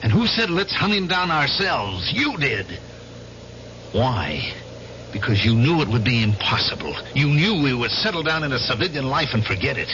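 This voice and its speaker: An American actor playing an insistent veteran confronting old comrades in an old-time radio drama. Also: male voice, mid-range voice, sing-song, normal speed, bright, forceful tone. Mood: accusing. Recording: dull, noisy old radio recording.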